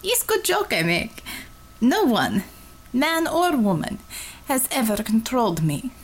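A brash, mocking Russian commissar, as a woman reader puts her on, with a heavy put-on Russian accent. She talks in blunt, clipped phrases that drop their articles. Her pitch swings widely and sits a little higher than the narration, which keeps her lively. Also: unhurried, slightly rough, full character voice. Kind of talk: audiobook character reading.

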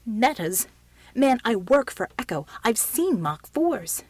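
A woman's voice: fairly high pitch, big pitch swings, slow pace, husky, no room sound, full character voice. A woman voicing a protective, firm military man in an audiobook story. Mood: scornful. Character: steady protective military male helper, quiet but commanding.

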